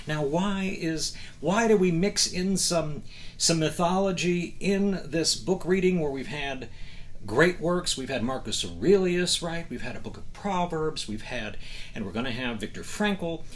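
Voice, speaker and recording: A man speaking as a host and book reader, expressive and emphatic, with dramatic pauses. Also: a little high, a little hiss or hum. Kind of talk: enthusiastic talk to camera and reading aloud from a novel.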